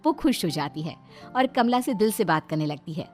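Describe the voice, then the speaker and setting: A woman narrating a moral story in an expressive, scripted storytelling style. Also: lowish voice, moderate pace, soft background music.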